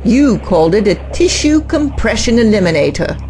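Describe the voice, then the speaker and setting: An actor playing a defiant male scientist who turns on his master, bitter and slow-spoken. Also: sits high, very animated, a little mellow, soft background music.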